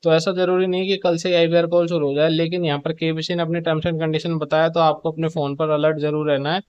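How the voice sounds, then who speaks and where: A man's voice: a little high, level tone, a little fast, a little mellow, smooth, no echo, lo-fi sound. A man presenting updates in an informal, instructional explainer style, with repetitive phrasing. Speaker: video presenter giving show updates.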